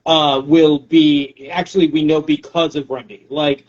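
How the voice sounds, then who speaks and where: Man, forties, fairly high voice, casual interview talk, self-deprecating, dull lo-fi recording. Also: level tone, measured pace, a little mellow. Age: 40s. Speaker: poetry show organizer.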